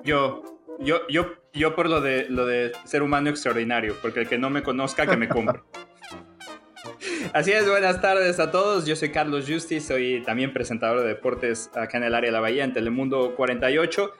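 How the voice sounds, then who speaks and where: Male sports presenter; easygoing and self-teasing, soft music underneath. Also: mid-range voice, moderate pace. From Mexico. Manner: playful, laughs at himself. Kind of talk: casual chat.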